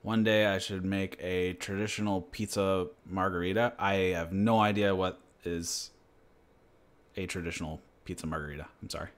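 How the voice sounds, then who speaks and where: A man's voice: sits low, fairly even intonation, moderate pace. A man from New Hampshire, hosting his channel, chatting casually in a rambling, laid-back way. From New Hampshire, USA. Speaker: channel host. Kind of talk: casual livestream chat and Q&A.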